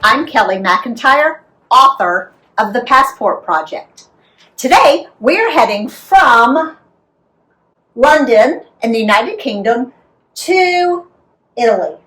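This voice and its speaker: American lady reading a kids travel book out loud, super expressive, unhurried. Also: fairly high pitch, faint music behind, poor audio. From United States. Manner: animated, deliberate storytelling. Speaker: author and narrator. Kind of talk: expressive scripted read-aloud of a children's travel memoir.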